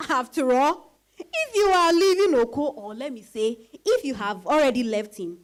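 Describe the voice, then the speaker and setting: A woman performing an outspoken, teasing married friend in a dramatized reading, animated and exclamatory. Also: high voice, unhurried.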